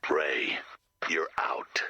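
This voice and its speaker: An actor playing a menacing, brooding slasher villain with a deep raspy growl and ominous deadpan delivery, in a comic horror game. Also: male voice, extremely slow, no echo, in a whisper, full character voice.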